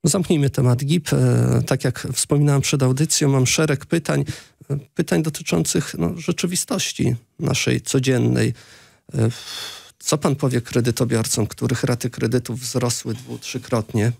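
A man's voice: medium pitch, fairly even intonation, normal speed, vocal fry, a little mellow, breathy edge, no room sound, clean studio sound. A man in his thirties hosting a political radio interview, pressing his guest with challenging questions. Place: small radio studio, indoors. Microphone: close desk microphone.

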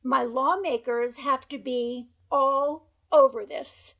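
A hoarse woman who has lost her voice, speaking emphatically as a researcher guest on a video call interview, through earbuds. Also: high-pitched, steady tone, takes it slowly, fairly bright tone, lo-fi sound. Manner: emphatic, repeats points for stress. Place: bright room at home by a window. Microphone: wired earbuds on a video call.

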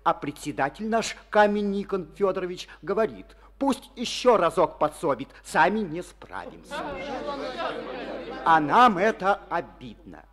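A man's voice: high voice, lively intonation, a little slow, fairly bright tone, a faint crowd. An actor playing an earnest young male Komsomol secretary in a village, chairing a meeting and reading a letter aloud in a declamatory way, in an old Soviet radio play.

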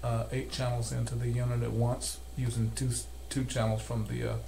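An older man talks through a technical demonstration step by step. His voice is on the low side of medium with a fair amount of fry and gravel. He is recorded in a workshop with clear background noise. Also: level tone, brisk, dark tone. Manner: methodical, step-by-step explaining. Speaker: repair technician demonstrating calibration. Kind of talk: hands-on tutorial narration. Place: cluttered indoor electronics workshop. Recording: noisy workshop room recording.

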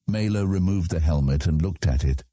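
A man with a husky voice reading scripted fantasy story narration as an audiobook narrator. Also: low voice, normal speed, dark tone, no room sound, clean studio sound.

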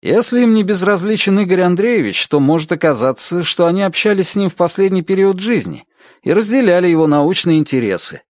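A man's voice: sits high, lively intonation, fairly quick, darkish tone, no room sound, clean studio sound, pitched above the performer's own voice. A man voicing a courteous, probing police investigator in an audiobook, questioning with confidence. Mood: probing, confident. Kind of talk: audiobook character dialogue.

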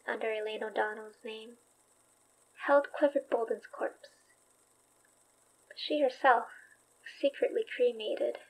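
An actress in a horror audio drama playing a thoughtful, wry young woman investigator recording a diary, reflective, halting and dryly understated. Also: fairly high pitch, low-quality recording.

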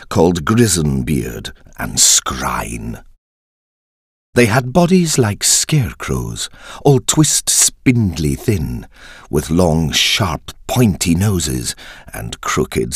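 A man narrating a dark verse tale as a storyteller, theatrical, deliberate and ominous, with a husky deep voice. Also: no echo, studio quality. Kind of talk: dramatic scripted storytelling in verse.

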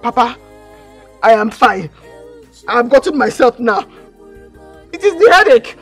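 A woman's voice: very high-pitched, expressive, brisk, pushed and bright, soft background music, full character voice. A woman with a Nigerian accent playing a distraught young woman who pleads and wails insistently in a film drama. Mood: desperate pleading.

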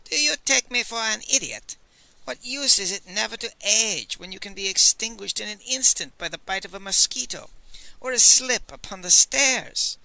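A man's voice: sits high, moderate pace, vocal fry, pushed and bright, no echo, voice pushed up, exaggerated voice, poor audio. A man voicing a shrewd, witty old male scholar in an audiobook, with emphatic, incredulous exclamations. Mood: scornful. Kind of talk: audiobook character voice.

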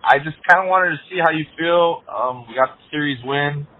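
A man's voice: on the high side, moderate pace, a little hiss or hum. Casual male fan on a phone line. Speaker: caller. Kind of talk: casual fan chat on voicemail.

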